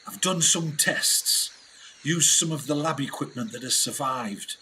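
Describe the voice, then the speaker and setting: Guy playing a gruff-talking british expedition man in a radio play, tense bright voice, takes his time, bit indignant. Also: mid-range voice, lively intonation, a little hiss or hum. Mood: grave, secretive. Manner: halting, emphatic, colloquial British slang. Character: rough, indignant British expedition scientist, turning vengeful. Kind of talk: dramatic 1920s adventure radio acting.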